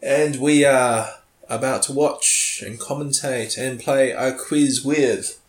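A man chatting casually as a podcast co-host doing movie commentary, nasal and stuffed up with a cold, a little halting as he goes. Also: mid-range voice, fairly even intonation. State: sniffly with a cold. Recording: roomy echo, music behind.

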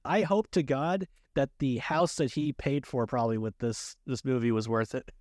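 A man's voice: mid-range voice, lively intonation, unhurried, darkish tone, no room sound, studio quality. A jocular man co-hosting a casual movie chat, rambling along and often amused. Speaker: podcast co-host.

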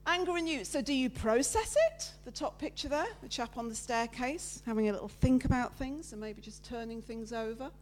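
A woman giving a lively, loud, chatty sermon with slides, talking with her congregation. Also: fairly high pitch, brisk, few pauses, slight background noise. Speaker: preacher giving a talk at church. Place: church meeting room with listeners present.

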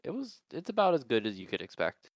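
A man's voice: mid-range voice, wildly swooping pitch, fast, no echo, studio quality. A man co-hosting a podcast in laid-back chat, explaining tech with lots of filler words. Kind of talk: casual chat.

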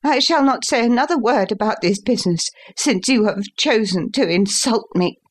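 Man playing proud young gentleman, high-pitched, defiant. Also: moderate pace, lightly acted. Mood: wounded, defiant. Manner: impassioned, defiant.

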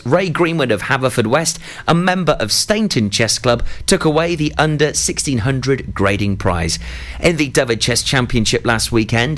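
A man's voice: mid-range voice, fairly bright tone. A man reading a scripted local news bulletin on the radio. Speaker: news reader.

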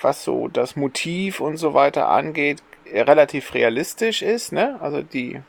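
A man's voice: a little high, lively intonation, unhurried, creaky. A man co-hosting a podcast in casual chat, blunt and teasing in how he talks. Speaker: podcast co-host.

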